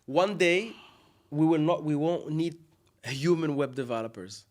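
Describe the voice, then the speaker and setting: A man's voice: fairly high pitch, animated, a little slow, a little mellow. A man whose first language is Arabic, hosting an interview in casual chat, often restarting and searching for words. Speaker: podcast host.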